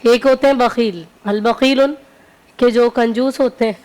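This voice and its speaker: High-voiced didactic woman religious scholar. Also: fairly even intonation, measured pace, darkish tone, rough recording. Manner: didactic, explaining in numbered points with repetition. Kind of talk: religious teaching on a talk show. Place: TV broadcast studio.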